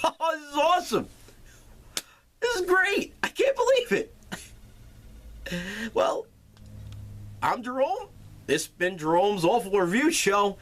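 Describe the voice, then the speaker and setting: A man hosting his own comedic movie review show, retelling a plot with crude, animated energy and swearing. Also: extremely high pitch, takes it slowly, pauses often, a little hiss or hum. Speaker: film reviewer, show host.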